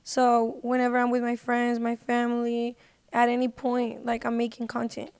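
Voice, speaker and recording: A woman's voice: fairly high pitch, monotone, normal speed, darkish tone, clear, resonant tone. A woman speaking casually and earnestly as a guest in a podcast conversation. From United States. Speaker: podcast guest, singer and social media personality.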